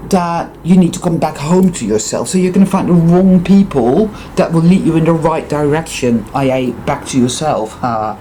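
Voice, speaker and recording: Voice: medium pitch, mellow, slight background noise, low-quality recording. A speaker with a Dutch accent, a native Dutch speaker, gives an intense, profane, emphatic monologue to viewers, repeating words for emphasis. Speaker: spiritual vlogger.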